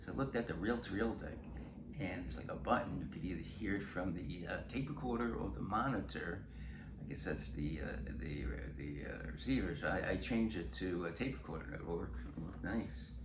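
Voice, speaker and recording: Older man, low-pitched voice, rambling casual vlog chat, blunt and chuckly, roomy echo. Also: fairly quick. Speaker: host of a music collecting vlog. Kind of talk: casual show-and-tell chat. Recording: roomy echo with clear background noise.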